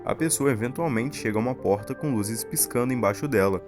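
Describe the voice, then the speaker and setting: A man's voice: medium pitch, brisk, over music. A man with a Brazilian accent, telling a horror story as scripted narration for an explainer video.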